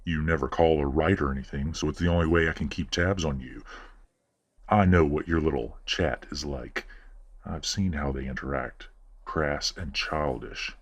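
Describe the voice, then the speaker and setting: A man playing a condescending, manipulative werewolf father in an audio roleplay, speaking in a measured, patronizing and coldly genteel way. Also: full character voice. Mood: smug, needling. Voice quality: deep, husky. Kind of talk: audio roleplay drama acting.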